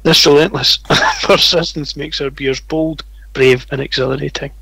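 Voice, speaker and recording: A sweary, sardonic Scottish man on a podcast, with a voice pitched a bit higher than most men's. The sound is close and dry. He is blunt and cuts in with dry jokes. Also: normal speed, vocal fry, fairly bright tone, breathy edge, no echo, low-quality recording. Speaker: podcast co-host. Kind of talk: casual chat.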